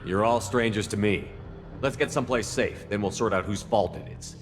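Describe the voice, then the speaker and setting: An actor playing a no-nonsense, decisive space commander in a video game, speaking bluntly. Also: male voice, lowish voice, moderate pace, long reverb tail, music in the background, lightly acted.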